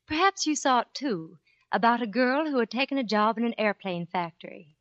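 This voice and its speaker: Animated woman radio spokeswoman. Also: fairly high pitch, a little fast, no echo. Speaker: sponsor spokeswoman. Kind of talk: scripted 1940s radio advertising talk. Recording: dull, dry old radio recording.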